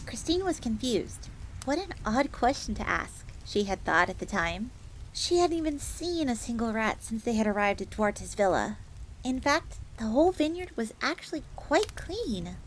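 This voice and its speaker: Woman playing a high-voiced devoted fox maid. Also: moderate pace. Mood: eager storytelling. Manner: speaks of herself in the third person, bookish, earnest. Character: devoted young fox maid servant, earnest and proud. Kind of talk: scripted roleplay audio drama storytelling. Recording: steady ambient noise, crackling fire.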